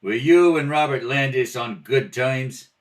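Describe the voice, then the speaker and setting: A man's voice: medium pitch, unhurried, natural voice. A man playing a blunt, brusque police lieutenant interrogating suspects in an old-time radio detective play. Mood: probing. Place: home kitchen table. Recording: distant home rehearsal recording.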